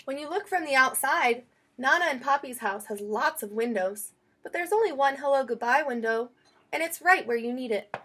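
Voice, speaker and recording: A woman reading a children's picture book aloud in an expressive, storytelling way. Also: sits high, moderate pace, slightly rough. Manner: expressive, acts out quoted lines.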